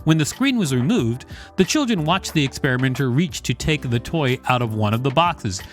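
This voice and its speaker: A man's voice: mid-range voice, animated, fairly quick. Male podcast host reading aloud over music. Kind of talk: read-aloud article narration with brief asides.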